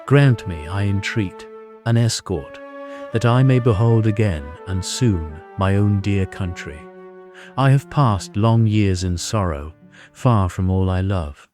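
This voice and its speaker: A man's voice: on the low side, lively intonation, slow pace, darkish tone. A man playing a weary wandering hero king in an epic audio drama, imploring in solemn, formal speech. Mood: solemn pleading. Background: orchestral string music.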